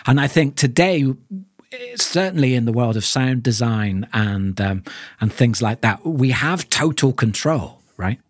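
A man's voice: medium pitch, lively intonation, a little slow, no room sound. A man in middle age speaks as a podcast co-host. His delivery is reflective and searching, with stress on key words, and the sound is dry with hardly any room on it. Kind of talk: reflective discussion of audio drama.

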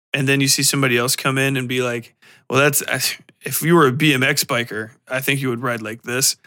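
A man hosting a podcast, giving a casual solo film review in a rambling, halting way with offhand swearing. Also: fairly high pitch, pushed and bright. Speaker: podcast host.

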